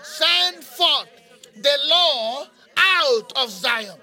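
A man's voice: extremely high pitch, animated, extremely slow, bright, forceful tone. A man preaching in fervent charismatic prayer, repeating phrases like a chant and breaking into speaking in tongues. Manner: impassioned, repetitive, incantatory phrasing.

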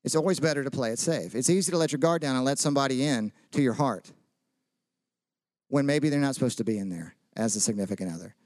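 A man's voice: mid-range voice, fast, darkish tone, no echo, studio quality. A man, preaching a casual sermon full of jokes, questions to the audience and personal stories. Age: 30s. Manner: chatty, joking, asks the audience questions.

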